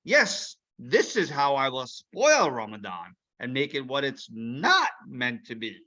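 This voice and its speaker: A man doing a loud, grumpy storybook villain. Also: mid-range voice, huge pitch swings, a little slow, voice pushed down, full character voice. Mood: gleefully menacing.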